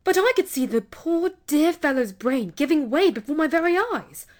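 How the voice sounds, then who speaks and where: A woman's voice: high voice, expressive, moderate pace, voice pushed up. A woman voicing a bold, prim maiden aunt in a storybook reading, with a firm, confiding manner.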